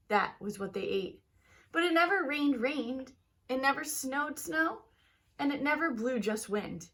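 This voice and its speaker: A woman with a fairly high voice reads a picture book to children. Her delivery is expressive and teacherly, leaning on key words and drawing some of them out for young listeners. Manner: talks directly to young listeners. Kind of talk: expressive children's storybook read-aloud.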